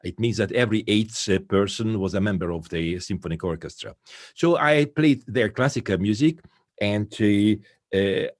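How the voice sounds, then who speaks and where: A man in his seventies from Hungary speaking English with a strong Hungarian accent, careful and halting, as a guest on a video call. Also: fairly low pitch, no room sound, studio quality. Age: 70s. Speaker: guest folk musician. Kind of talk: interview over video call.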